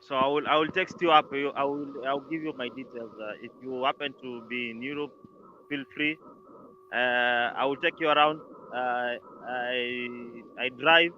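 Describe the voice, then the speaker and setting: A man's voice: mid-range voice, fairly even intonation, moderate pace. A man from Kenya with a Kenyan accent, calling in to a live chat. He speaks hesitantly and restarts often. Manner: hesitant, many restarts and fillers. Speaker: caller. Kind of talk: casual call-in chat. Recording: dull lo-fi call with clear background noise.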